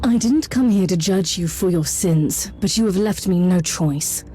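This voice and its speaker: Lady voicing stern pious battle sister inquisitor, dark mellow voice, game acting, faint music. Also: on the low side, measured pace, close to an everyday voice. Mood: cold judgment. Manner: solemn, commanding, clipped.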